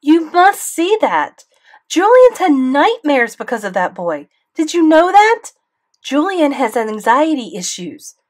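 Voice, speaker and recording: A woman reading aloud, voicing an indignant, furious mother who talks in a shrill, strident voice. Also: expressive, brisk, hardly any pauses.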